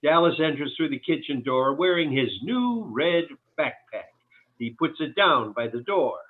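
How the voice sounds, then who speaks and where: A man's voice: a little high, lively intonation, moderate pace. A man reading stage directions as scripted narration for a table read. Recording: tinny phone-like call line.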